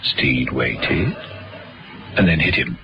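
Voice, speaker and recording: A man's voice: rumbling low, animated, slow, over loud music. A man reading scripted dramatic narration for a vintage radio adventure serial. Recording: noisy vintage radio recording.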